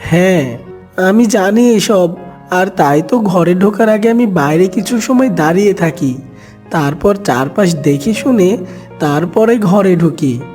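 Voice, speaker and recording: A man's voice: high voice, animated, measured pace, a little mellow, faint music behind, pitched above the performer's own voice. A man voicing a cautious cartoon duck, a protective husband and father. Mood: reassuring.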